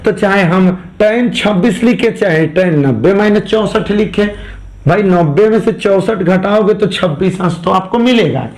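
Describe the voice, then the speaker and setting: A man teaching a math class with a hoarse voice, speaking with a tinge and coaxing students through each step. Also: high voice, normal speed. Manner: coaxing, repeats steps and checks understanding.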